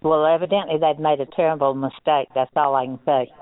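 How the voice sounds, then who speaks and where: A woman's voice: low voice, steady tone, fairly quick, no room sound. A plain-spoken, insistent woman making a customer complaint in a phone conversation. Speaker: customer calling about a refund. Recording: tinny, narrow telephone line.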